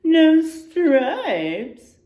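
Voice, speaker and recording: Lady doing snooty storybook jungle animals, jeering and loud, pitch jumping around. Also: sing-song, drawn-out words, exaggerated voice. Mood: scornful teasing. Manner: scornful, exclaiming. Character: vain, mocking jungle animals jeering at a small warthog.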